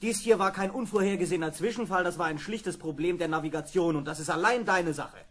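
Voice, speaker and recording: High-voiced grumbling space pilot. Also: male voice, quick pace, a bit bright. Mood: exasperated. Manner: exasperated, blunt. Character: irritable, grumbling male space pilot bickering with his robot. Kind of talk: vintage German sci-fi radio play acting. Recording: noisy old radio play with ambience.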